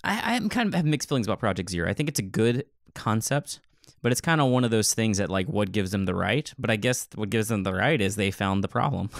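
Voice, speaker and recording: A man's voice: lowish voice, animated, brisk, vocal fry, dry recording, studio quality. A man co-hosting a podcast in casual tech discussion, talking in a rambling, opinionated way. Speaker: podcast co-host and web developer.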